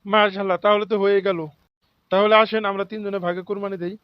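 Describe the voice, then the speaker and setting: A man's voice: high-pitched, level tone, fast talker, lo-fi sound, noisy background, lightly acted. A man voicing a corrupt, self-righteous office official in a comic cartoon, with a Bangladeshi regional accent and sanctimonious, pious phrasing. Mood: pious, friendly. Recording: loud music behind the voice. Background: loud music.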